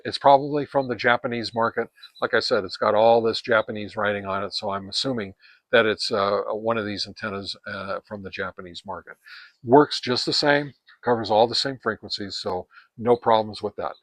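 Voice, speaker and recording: A man's voice: mid-range voice, a bit bright. A man giving a casual product review and test demonstration, explaining each step methodically as a hobbyist reviewer. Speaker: ham radio product reviewer.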